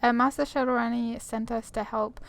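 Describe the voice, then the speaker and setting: A woman's voice: a little high, steady tone, moderate pace. A woman giving casual, chatty commentary on a game playthrough, wandering off on tangents and reading dialogue aloud. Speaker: gaming streamer. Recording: faint background noise.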